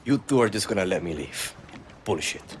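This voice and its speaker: An actor playing a blunt, cynical young guerrilla in a video game. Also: male voice, low-pitched, expressive, normal speed, a little hiss or hum. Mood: sardonic. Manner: blunt, sardonic. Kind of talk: cinematic video game acting.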